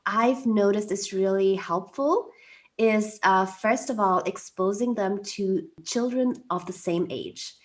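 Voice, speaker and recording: A woman's voice: medium pitch, slow. A woman coach hosting a podcast interview, talking in a reflective, supportive way. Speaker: podcast host, expat coach. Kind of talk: interview conversation.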